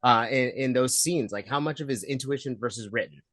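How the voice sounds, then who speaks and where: A man's voice: mid-range voice, brisk, a bit bright, no room sound. Chatty young man interviewer, dry sound. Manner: chatty, enthusiastic, heavy with fillers like "like". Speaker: entertainment interviewer. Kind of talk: casual press junket interview.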